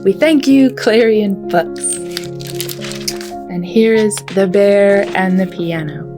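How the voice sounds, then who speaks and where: A woman presents a storytime for children. She reads picture books aloud as a storyteller at a middling pitch, with music clearly heard behind her voice. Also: slow pace. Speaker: children's librarian hosting storytime.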